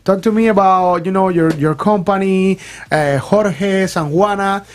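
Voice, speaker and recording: High-voiced hyped bilingual radio host. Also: male voice, unhurried. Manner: upbeat, hyped announcer switching between English and Spanish. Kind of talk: bilingual morning radio show. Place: radio studio.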